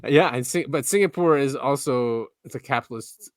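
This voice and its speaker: A man co-hosting a podcast in casual chat, relaxed, filling his speech with "yeah" and "um" and letting his sentences drift and trail off. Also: medium pitch, normal speed, dry recording, studio quality. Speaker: podcast co-host.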